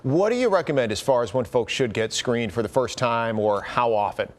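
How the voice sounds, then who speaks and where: A man's voice: medium pitch, animated, fairly quick. A man with an American accent anchoring live morning news, reading stories and putting questions to a guest.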